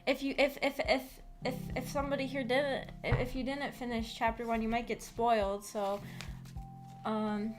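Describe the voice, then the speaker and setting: Female book club stream host, higher-pitched, informal and giggly, restarts phrases, faint music underneath. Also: little pitch movement, moderate pace. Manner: giggly, breaks into small laughs often. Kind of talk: casual livestream discussion with chat.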